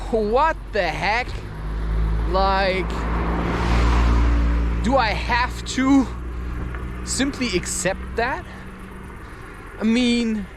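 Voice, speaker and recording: A high-voiced man talks very slowly and haltingly, as if thinking aloud to his listeners. He leans on phrases and repeats them in a confessional ramble. There is some outdoor traffic noise behind him as he walks. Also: a bit bright. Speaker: vlogger recording a spoken-word podcast. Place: outdoors, recorded while walking.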